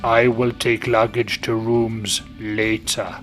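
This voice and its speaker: A man voicing a towering, ominous elderly castle manservant with slow, halting broken phrasing. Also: mid-range voice, flat delivery, fairly bright tone, faint music behind.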